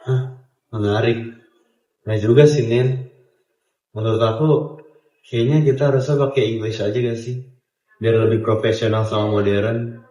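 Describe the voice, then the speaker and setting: A man's voice: mid-range voice, steady tone, moderate pace, pauses often, dark tone, natural voice. A young man acting a stubborn male student in an amateur school skit, chatting casually and dropping English words into his speech. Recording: roomy, dull, music underneath.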